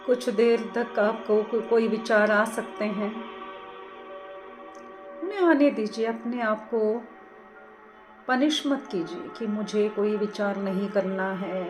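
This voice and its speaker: A woman leading a guided meditation, giving soothing, gentle, unhurried instructions. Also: mid-range voice, over music. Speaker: meditation teacher.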